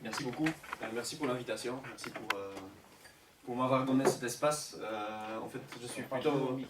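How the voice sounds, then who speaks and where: A man's voice: mid-range voice, moderate pace. Polish man speaking French in echoey hall. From Poland. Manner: self-deprecating, laughs easily, hesitant. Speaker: invited researcher, urban geographer. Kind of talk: conference talk. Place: conference room. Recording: roomy hall, far from mic, noise behind.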